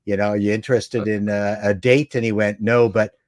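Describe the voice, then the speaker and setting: A man's voice: fairly low pitch, flat delivery, fairly quick, dry recording, studio quality. A man on a panel chatting casually and telling anecdotes.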